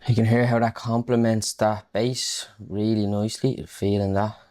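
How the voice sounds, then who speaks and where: A man's voice: lowish voice, flat delivery, moderate pace. A man giving a casual music production tutorial, talking informally and thinking aloud as he works. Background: electronic house music.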